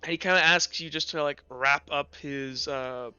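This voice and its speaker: A man running a tabletop roleplaying game, improvising narration in a halting, loose, conversational way. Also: on the high side, pushed and bright, smooth, dry recording. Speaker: game master. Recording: dull audio with music.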